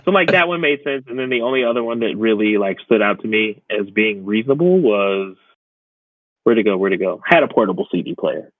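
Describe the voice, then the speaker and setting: A man's voice: lowish voice, expressive, a little fast, dry recording, narrow, tinny audio. A young man co-hosting a podcast in casual chat, often acting out little imagined exchanges as he talks. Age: young adult. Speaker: podcast co-host.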